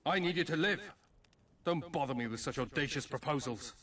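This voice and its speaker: Pompous prince, slightly far off played by an actor. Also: male voice, on the high side, fairly quick, noisy background, lightly acted. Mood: haughty, indignant. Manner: pompous, formal, dismissive. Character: haughty, suspicious male prince refusing a request. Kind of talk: video game fantasy acting.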